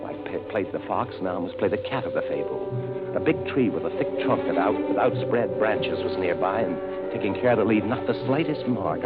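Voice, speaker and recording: An actor playing a determined, hunted big-game hunter, telling his story earnestly and dramatically in vintage radio style. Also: low-pitched. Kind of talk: 1940s radio acting. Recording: tinny old radio broadcast, music underneath.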